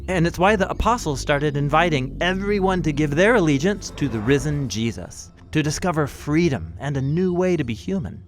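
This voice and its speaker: Expressive man explaining, lively pitch. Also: normal speed, few pauses. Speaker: explainer, co-host teaching Bible themes. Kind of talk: conversational scripted explainer narration.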